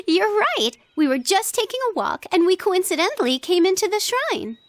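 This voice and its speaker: Anime game role: gentle, reserved teen girl, polite and earnest, very high voice, dry close recording. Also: big pitch swings, normal speed, dry recording. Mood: shy, hesitant. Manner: polite, thoughtful. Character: earnest, reserved teenage girl, gentle, considerate, quietly stubborn. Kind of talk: anime video game voice acting.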